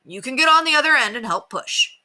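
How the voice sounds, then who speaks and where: A woman's voice: high-pitched, lively intonation, fast talker, bright, forceful tone, pitched above the performer's own voice, full character voice, rough recording. A woman voicing an agreeable, hardworking male neighbor in a children's storybook, easygoing and hearty.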